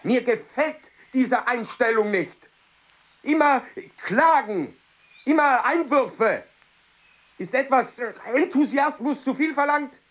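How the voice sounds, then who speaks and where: An actor playing an earnest, formal explorer-scientist in a radio play, flustered and stiff in manner. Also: male voice, very high-pitched, lively intonation, slow, a bit bright, highs cut off, full character voice. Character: earnest, driven male explorer-scientist, adult. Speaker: lead character in a radio play. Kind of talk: 1990s-2000s German radio drama acting.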